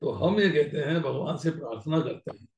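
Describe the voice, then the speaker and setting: An older man delivering a devotional talk over a video call, telling stories, clearing his throat now and then and slipping in English phrases. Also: on the high side, animated, a little fast, darkish tone, roomy sound. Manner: storytelling teacher, mixing in English phrases, clears throat often. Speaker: spiritual lecturer.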